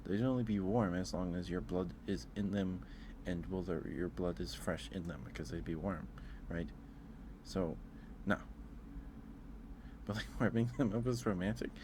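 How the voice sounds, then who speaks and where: A man's voice: sits low, moderate pace, long pauses, background noise. A man chatting casually with livestream viewers as host, musing aloud in an informal, hesitant way.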